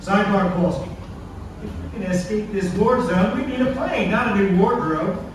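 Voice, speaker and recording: A man acts a brisk military penguin boss for a puppet show. The pitch is high and the manner clipped and commanding. It sounds distant and heavily echoed, with faint noise. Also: natural voice. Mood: scheming. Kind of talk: scripted puppet show character acting.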